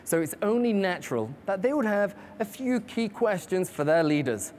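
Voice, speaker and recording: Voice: mid-range voice, big pitch swings, normal speed, darkish tone, slight background noise. Upbeat scripted broadcast host. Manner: upbeat promotional read. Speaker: program host.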